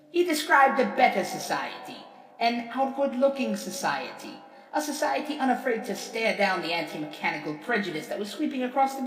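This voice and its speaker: Vain, grandiose robot politician, played by a woman, oratorical and theatrical, lively intonation, distant with music behind. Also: medium pitch, close to an everyday voice. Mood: solemn, proud. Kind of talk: dramatic reading of a courtroom speech. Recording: echoey hall reverb, distant, music behind.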